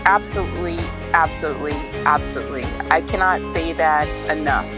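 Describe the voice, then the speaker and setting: A woman's voice: low voice, fairly quick. A woman giving an earnest, grateful testimonial. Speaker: testimonial speaker. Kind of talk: recorded testimonial. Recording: over clear background music.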